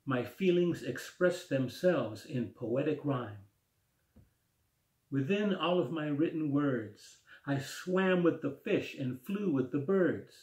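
A man in his sixties reading his own rhymed poem aloud at home in a slow, rhythmic recitation. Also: medium pitch. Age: 60s. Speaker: poet. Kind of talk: spoken word poetry reading. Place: small room at home, indoors. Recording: echoey room.

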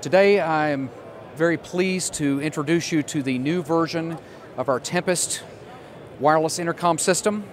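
A man's voice: on the high side, normal speed. An American man in his fifties giving a product demonstration as a sales manager. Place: large indoor trade show hall, at a booth. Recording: clear trade show floor noise. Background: busy exhibition hall ambience.

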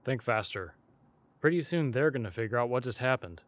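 A man's voice: medium pitch, lively intonation, a little fast, natural voice. A man playing a blunt, sardonic, needling male ally in an audio roleplay drama. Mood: impatient.

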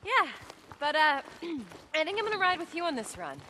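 An actress playing a brisk, guarded, determined camp scientist in a video game, earnest in manner. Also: female voice, high voice, sing-song, pushed and bright, a little hiss or hum, heavily acted.

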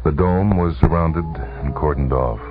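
A male narrator with an extremely deep voice reads a radio story with dramatic, deliberate phrasing. The broadcast sounds thin and band-limited, and music plays clearly behind him. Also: phone-quality sound.

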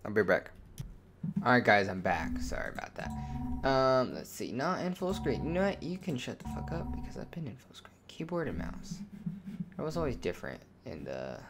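A man's voice: mid-range voice, wildly swooping pitch, moderate pace, noisy background. A man hosting a gaming video with casual commentary, swearing and muttering to himself as he plays. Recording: music behind the voice. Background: loud game music.

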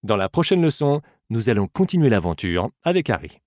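A man's voice: mid-range voice, big pitch swings, fast, darkish tone, no echo, clean studio sound. A man narrating a scripted bilingual audiobook lesson as a teacher, addressing the listener in an encouraging way.

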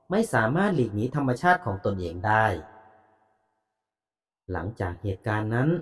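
A male storyteller reads bedtime fables with a calm, moralizing delivery. His pitch moves a lot across each phrase, and a long reverb hangs behind every sentence.

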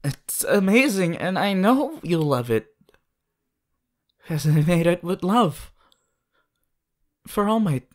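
Woman acting as teenage anime hero boy, ASMR roleplay, eager, affectionate, playful gushing, very animated intonation, unhurried pace. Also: on the low side. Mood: eager, coaxing. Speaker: voice actor in a fan character audio. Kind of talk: ASMR roleplay addressed to the listener.

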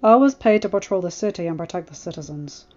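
A woman's voice: fairly low pitch, expressive, fast talker, darkish tone, lightly acted. A woman voicing a respectful, honest male guard captain in a story, matter-of-fact. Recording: muffled lo-fi recording, music behind.

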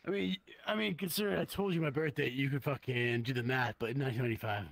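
A man in his twenties chatting loudly and swearing during a drinking game in social VR, heard over voice chat. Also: mid-range voice, fast talker, gravelly, no room sound. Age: 20s. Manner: loud, swearing, boisterous. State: drunk. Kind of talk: casual chat.

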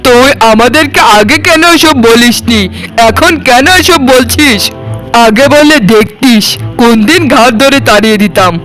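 A man acts out a domineering cartoon husband, fiercely protective as a brother. His voice is unusually high for a man, scolding and accusing in angry commands. Music plays underneath. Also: a bit bright, low-quality recording. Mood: indignant, protective. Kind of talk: cartoon melodrama dialogue. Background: background music.

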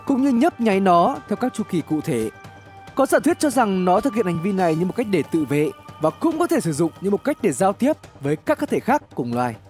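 A man narrates a scripted horror explainer in a notably high voice. His delivery is dramatic and measured, with lively swings in pitch. Music plays behind him.

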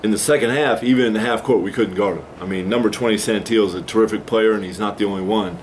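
Measured low-voiced coach at a press table. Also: male voice, slight background noise. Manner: measured, explanatory, takes responsibility. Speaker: head basketball coach. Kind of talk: postgame press conference answers. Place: small press room with a backdrop, indoors.

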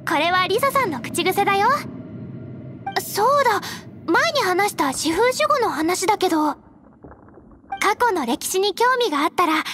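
A woman voicing an earnest, energetic young female scout knight in an anime game. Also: very high-pitched, normal speed, pauses often, a little hiss or hum. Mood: cheerful, helpful. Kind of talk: Japanese anime game dialogue.

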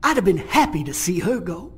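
An actor playing a gruff older space pirate who talks in a folksy, blunt, drawling way in video game voice acting. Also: male voice, high voice, sing-song, a little fast, full character voice.

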